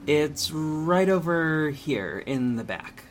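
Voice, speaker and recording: An actor playing a friendly young shopkeeper and amateur sleuth who jokes around and gets easily flustered. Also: male voice, on the high side, slow, slight background noise. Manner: lively, joking, easily flustered.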